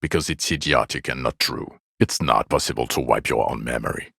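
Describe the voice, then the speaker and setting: A man's voice: extremely low pitch, a little fast, gravelly, fairly bright tone, slightly rough, no echo, exaggerated voice. A man voicing a bitter, exasperated police detective in a video game, with dry, cutting sarcasm and weary contempt. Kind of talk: dramatic game dialogue.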